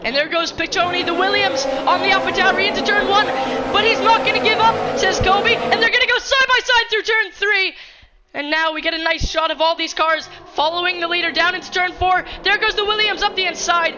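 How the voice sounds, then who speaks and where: Woman race commentator, high voice, slightly hoarse, excitable, loud engines and crowd behind. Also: a little fast, heavy background noise. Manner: excitable, rising pitch in close battles. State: slightly hoarse, losing her voice. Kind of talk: live sim racing commentary. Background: race car engines and crowd ambience.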